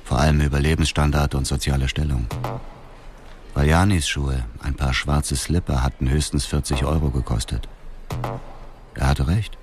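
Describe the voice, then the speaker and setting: Very deep-voiced, cold avenging man. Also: steady tone, normal speed, over music. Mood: observing, detached. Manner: cold, defiant, matter-of-fact. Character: bitter, self-righteous widower turned cold-blooded avenging killer. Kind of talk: radio drama acting and first-person narration.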